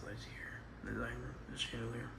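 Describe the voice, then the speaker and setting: Raspy man with a cold. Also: mid-range voice, flat delivery, fairly quick, noisy background. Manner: rambling, hesitant, apologetic, often drops to a near whisper. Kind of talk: casual home tour vlog. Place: inside a house, moving from room to room.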